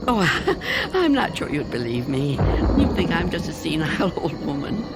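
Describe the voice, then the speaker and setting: A woman's voice: sits low, very animated, normal speed, heavy background noise. An actress in a radio drama playing a frail, fearful, reclusive old woman who speaks in a hesitant, tremulous, anxious way. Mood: nervous, guarded. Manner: hesitant, stammering, anxious muttering.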